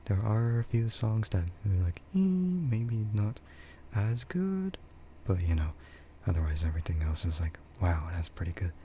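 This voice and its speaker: A man chatting casually and soft-spoken with his livestream viewers, rambling in a relaxed way. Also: fairly low pitch, a little slow, few pauses, lo-fi sound, slight background noise. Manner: relaxed, soft-spoken ASMR yapping, often chuckling. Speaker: streamer, VTuber host.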